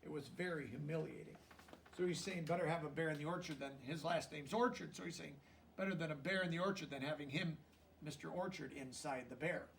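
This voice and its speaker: A man with a notably high voice reads a storybook to children. He moves between narration and simple explanatory asides, checking that listeners follow. The voice sits some distance from the microphone. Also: gravelly, a little hiss or hum. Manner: pauses to explain words and check understanding in plain language. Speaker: storybook reader teaching children.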